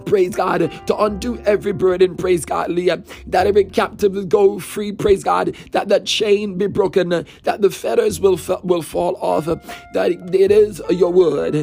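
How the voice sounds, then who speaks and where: A man's voice: high-pitched, fairly even intonation, unhurried, creaky, a little mellow, soft background music. A man leading a fervent spoken prayer, pleading and repeating phrases, at times half-singing and speaking in tongues. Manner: impassioned, repetitive, half-sung intercession with tongues. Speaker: prayer leader.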